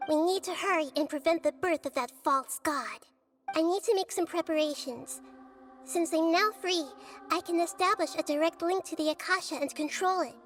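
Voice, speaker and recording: A woman voicing a gentle, wise, childlike goddess in a video game, soft and earnest in a thoughtful, measured way. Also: very high voice, soft background music. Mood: focused. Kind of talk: video game cutscene voice acting.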